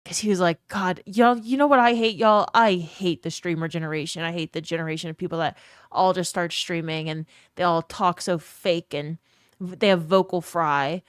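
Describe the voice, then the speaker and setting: Chatty woman streamer, smooth and low, slight fry, rambles and thanks chat by name. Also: steady tone, normal speed, dry recording, studio quality. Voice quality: slight vocal fry at phrase ends. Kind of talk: casual live-stream chat.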